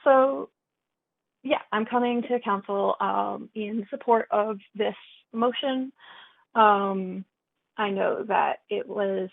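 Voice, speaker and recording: Gravelly woman on a dry phone line. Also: medium pitch, slow pace, dry recording. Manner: hesitant, with many fillers. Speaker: public speaker at a council meeting. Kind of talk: public comment.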